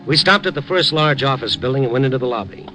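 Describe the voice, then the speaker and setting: A man's voice: medium pitch, fast talker, sharp tone, soft background music, natural voice. An actor playing a wry, skeptical younger newspaperman in a 1950s radio drama, informal and slangy. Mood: grave, narrating. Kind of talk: 1950s radio drama acting with first-person narration.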